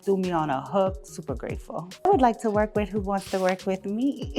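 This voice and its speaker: A woman from Jamaica, giving casual, animated and emphatic interview answers as a music artist. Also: mid-range voice. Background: background music.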